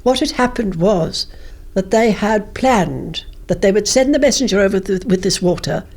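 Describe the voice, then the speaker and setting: An older woman, a former politician, reminiscing in an interview in a measured, anecdotal way. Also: mid-range voice, animated, darkish tone.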